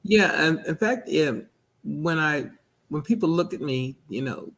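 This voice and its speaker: A man with a soft, not very deep voice, answering interview questions over a video call in a hesitant, halting way. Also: animated. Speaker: guest, minister.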